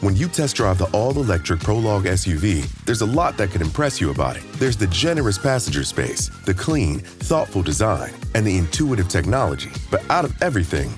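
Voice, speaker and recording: A man's voice: on the low side, big pitch swings, fairly quick, hardly any pauses. Man reading car ad over music. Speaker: ad announcer. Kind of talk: scripted ad read. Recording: ad voice over loud music bed.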